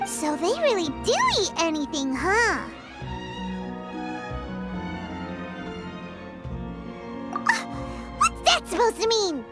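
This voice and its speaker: A woman voicing a tiny, childlike, curious and excitable fairy companion in a video game, with a squeaky voice. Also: moderate pace, over loud music, heavily acted. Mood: curious, skeptical. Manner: speaks of herself in third person, inquisitive.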